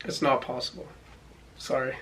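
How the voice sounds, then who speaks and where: A man's voice: mid-range voice, steady tone, normal speed, gravelly, a bit bright, rough recording. An eighteen-year-old man, casually and earnestly explaining gym training in a group Q&A. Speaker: co-host of fitness Q&A. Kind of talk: casual chat.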